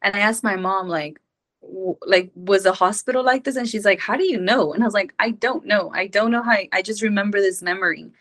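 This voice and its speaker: A woman's voice: medium pitch, a little fast, a bit bright. Earnest young woman from Mexico. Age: 20s. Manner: earnest, rambling, many fillers. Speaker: podcast guest. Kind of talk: casual personal storytelling.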